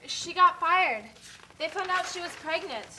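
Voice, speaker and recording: A woman's voice: very high voice, lively intonation, a little slow, sharp tone, a little hiss or hum, exaggerated voice, rough recording. A woman voicing a blunt, matter-of-fact factory worker who explains things in an amateur puppet show. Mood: cynical, wary.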